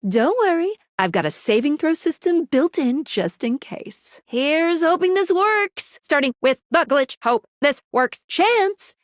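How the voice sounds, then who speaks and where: A perky young female guide from a dating video game. Her voice sits high and swings freely up and down, playful and theatrical. The recording is dry and close, with very little room sound. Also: animated, a little slow, few pauses, dry recording. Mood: encouraging, excited. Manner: bubbly, playful, theatrical delivery. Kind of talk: animated video game voice acting.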